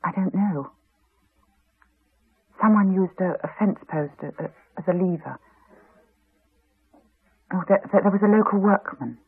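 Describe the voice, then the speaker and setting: A woman's voice: lowish voice, unhurried, pauses often, slightly rough. An actress playing a guarded, troubled mother in a 1980s radio drama, terse and hesitant. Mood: shaken, hesitant. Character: guarded, troubled mother haunted by childhood guilt. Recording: muffled, narrow-band 1980s radio broadcast.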